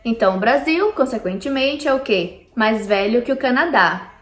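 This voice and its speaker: A woman's voice: on the high side, expressive, moderate pace, roomy sound, faint music behind. A Brazilian woman with a Brazilian Portuguese accent, explaining history to her viewers in a chatty vlog. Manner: chatty, addresses viewers directly. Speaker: vlogger. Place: lives in Canada.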